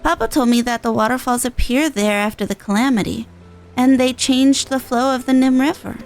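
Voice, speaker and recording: A woman's voice: high voice, normal speed, soft background music. A woman voicing a worried, polite little girl in a fantasy game, speaking simply and a bit hesitantly. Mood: informative. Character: worried, polite little girl who misses her papa.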